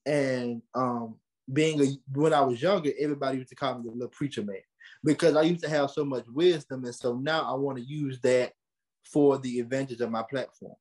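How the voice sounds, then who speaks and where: A young American man giving conversational interview answers, earnest and animated, repeating phrases for emphasis. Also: mid-range voice, brisk, rough recording. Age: young adult. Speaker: podcast host and creative being interviewed.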